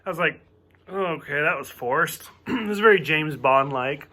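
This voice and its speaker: A chatty man with a light, mostly covered Southern accent talks through a TV review in a loose, rambling way, full of filler words. His voice sits high, with a bright, tense edge. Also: expressive, a little slow. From southern United States. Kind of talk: casual solo review.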